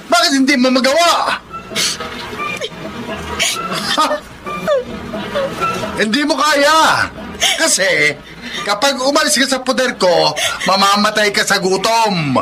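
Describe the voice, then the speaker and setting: A man's voice: very high voice, very animated, measured pace, exaggerated voice, rough recording. A Filipino man playing a cruel, domineering, cheating husband in a radio drama, with a mocking, confrontational delivery. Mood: furious, taunting. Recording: music bed behind the voice.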